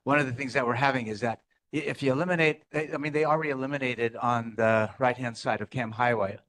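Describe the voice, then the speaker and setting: A man commenting as a resident at a neighborhood meeting, rambling with halting restarts. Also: mid-range voice, steady tone, a bit bright. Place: community meeting room.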